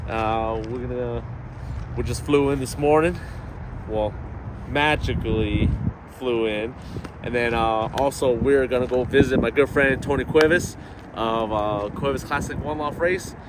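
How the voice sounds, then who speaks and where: A man's voice: medium pitch, a little slow. A man hosting a vlog in a casual, chatty way with informal, folksy greetings. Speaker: vlog host. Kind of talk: casual vlog chat to camera and guests. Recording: noisy outdoor recording with vehicle sounds.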